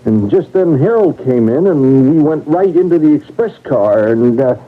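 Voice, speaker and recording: An actor playing a frightened baggage master with a husky voice, stammering and agitated, in old-time radio drama style. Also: male voice, a little high, lively intonation, moderate pace. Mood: nervous, flustered. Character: frightened, flustered male baggage master on a train. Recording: tinny old radio recording.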